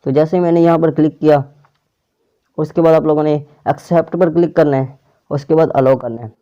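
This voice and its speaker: Guy doing a casual app walkthrough, kinda high mellow voice, bit dull lo-fi mic. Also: fairly even intonation, a little fast. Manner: step-by-step instructions addressed to viewers. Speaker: tech tutorial host. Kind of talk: casual screen-recording app tutorial.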